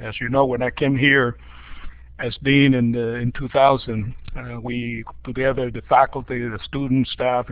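An older man from Cuba talks through his thoughts with frequent "uh"s and restarts, now and then chuckling at his own jokes. His voice sits in an ordinary middle pitch. The audio is dry and a bit dull, like a lo-fi online call. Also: dry recording. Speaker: dean of a school of education. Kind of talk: casual spoken overview on a webinar.

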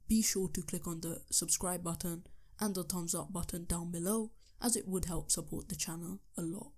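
A young man with a sore, hoarse throat, talking through a computer tutorial step by step, with the odd um. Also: sits high, a little mellow. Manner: earnest step-by-step instructions with ums. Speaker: tech tutorial youtuber. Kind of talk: screen-recorded tutorial voice-over.

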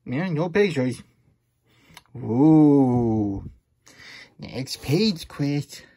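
Puppet story-time host: childlike, grumbly hedgehog played by a man, husky and mellow, stammering, fretful asides. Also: medium pitch, animated, drawn-out words, heavily acted. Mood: reluctant, fretful. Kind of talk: puppet read-aloud with chatty asides.

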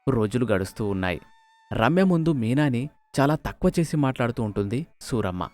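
A man's voice: mid-range voice, animated, fairly quick, a little mellow. A man narrating a scripted moral story as a storyteller, recorded close on the mic. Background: music.

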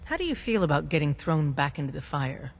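A woman's voice: deep voice, big pitch swings, fairly quick, big-room sound, heavily acted. An actress voicing a businesslike female resistance leader giving orders in a game. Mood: briefing.

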